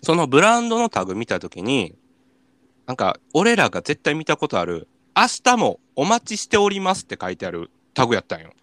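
A young man with a Kansai accent hosting a radio show, telling casual comic stories in an animated way with acted-out reactions. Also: mid-range voice, brisk, no room sound. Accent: Kansai Japanese. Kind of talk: casual comic storytelling chat.

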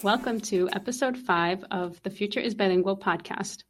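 A woman's voice: medium pitch, moderate pace, music in the background. A woman hosting a podcast interview, encouraging her guest with frequent agreement. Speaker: podcast host.

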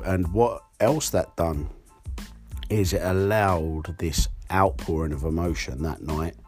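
Hoarse low-voiced man. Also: darkish tone. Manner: candid, rambling with fillers. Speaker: podcast host. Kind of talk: solo podcast monologue.

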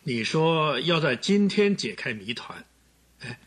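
An actor playing a cold, skeptical prosecutor who speaks gravely in a radio drama. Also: male voice, on the high side, big pitch swings, slow, fairly bright tone, full character voice. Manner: grave, clipped, questioning.

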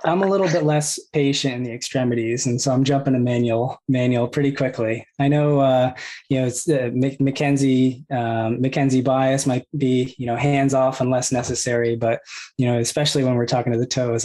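A man in his thirties, a physical therapist, calmly explaining his clinical approach with many ums and you-knows. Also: mid-range voice. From United States. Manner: measured, filler-heavy, explains step by step.

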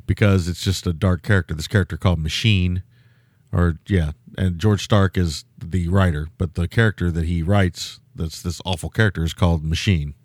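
A man with a loud, low voice talks through a movie in relaxed podcast chat. He rambles, doubles back and fills gaps with ums and uhs. The sound is dry, with no room in it. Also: normal speed, dry recording. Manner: rambling, many fillers and restarts. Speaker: podcast co-host. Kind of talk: casual film-review chat.

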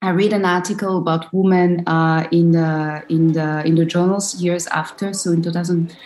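Woman, 30s, from Côte d'Ivoire; thoughtful interview guest, lowish voice, roomy echo. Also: steady tone, moderate pace, a little mellow, a little hiss or hum. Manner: thoughtful, many restarts, frequent laughs. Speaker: guest, shea butter entrepreneur. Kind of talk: casual interview conversation.

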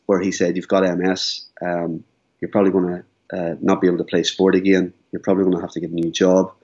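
Brief: earnest forties man, deep voice, muffled lo-fi recording. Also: level tone, fast talker, darkish tone. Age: 40s. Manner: earnest, repeats words as he speaks. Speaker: guest. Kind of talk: candid interview. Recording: dull lo-fi sound.

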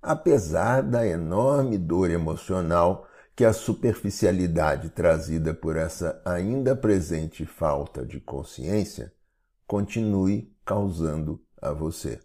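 Male meditation narrator, Brazilian, husky and unhurried, formal instructive read. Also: deep voice, expressive, dark tone. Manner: measured, instructive, formal wording. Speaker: meditation guide. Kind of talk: scripted guided meditation narration.

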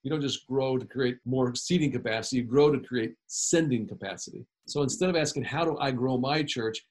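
A man's voice: medium pitch, fairly quick, darkish tone. A man with an ordinary mid-range voice answers an interview question as a guest author. He talks in a preacherly cadence, hammering key phrases by repeating them.

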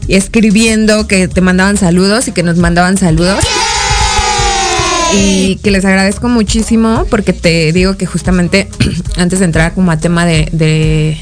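A woman's voice: on the low side, steady tone, over music. Chatty Mexican woman host. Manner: chatty, talks a lot, quick to laugh. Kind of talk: casual interview chat.

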